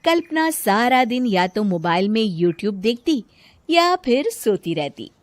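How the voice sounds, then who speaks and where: A woman's voice: a little high, sing-song, takes it slowly. A woman narrating a scripted children's story as its storyteller.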